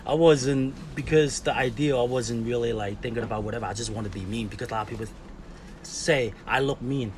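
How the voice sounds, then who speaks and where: A man's voice: mid-range voice, fairly quick, a little mellow. A man in his forties from Hong Kong, a skateboarder being interviewed, telling stories casually in English, laughing often and acting out quotes. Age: 40s. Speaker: guest, skateboarder. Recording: clear background noise.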